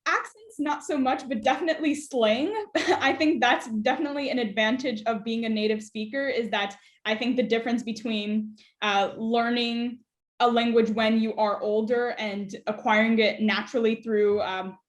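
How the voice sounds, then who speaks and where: A woman's voice: a little high, fairly even intonation, moderate pace, echoey room. A young woman, a student guest in an interview, talks in a thoughtful way with many "uh" and "um" fillers. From United States. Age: young adult. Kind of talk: conversational interview.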